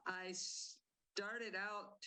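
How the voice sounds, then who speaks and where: Older lady playwright, talks real slow, lots of uh and laughing. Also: medium pitch, bright, forceful tone, clean tone, poor audio. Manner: slow, halting, with fillers and laughs. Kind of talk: interview answers.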